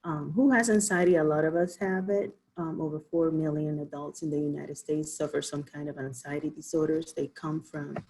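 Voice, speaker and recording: A woman's voice: sits low, steady tone, normal speed, hardly any pauses, a little mellow, slight background noise, rough recording. A woman from the Dominican Republic, a physician, giving an informal online presentation with many ums and restarts. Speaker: physician giving a health talk.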